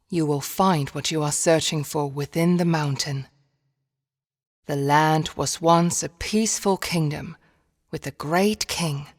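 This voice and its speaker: Woman reading game storybook narration, deep for a lady, slow and solemn, big echoey reverb. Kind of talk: scripted fairy-tale narration. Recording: long reverb.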